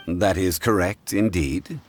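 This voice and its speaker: A man playing a calm, learned consultant in a fantasy video game, speaking in a formal, measured, dignified way. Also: sits low, slight background noise. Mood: composed, amused.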